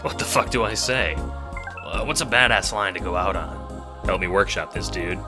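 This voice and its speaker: Man playing a deep-voiced, shaken doomed young guy. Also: music in the background, close to an everyday voice. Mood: resigned. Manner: halting, swearing, shaken. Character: distraught young man, doomed, cursing, resigned to die. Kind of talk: audio drama acting.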